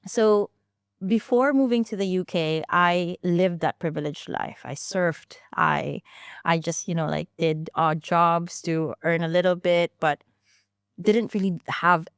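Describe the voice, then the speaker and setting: A woman's voice: lowish voice, fairly even intonation, a little slow, clear, resonant tone, dry recording, clean studio sound. A woman in her thirties, a founder telling her story in a casual interview, chatty, with frequent restarts and fillers. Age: 30s. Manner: chatty, many restarts and fillers, laughs easily. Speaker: founder and guest.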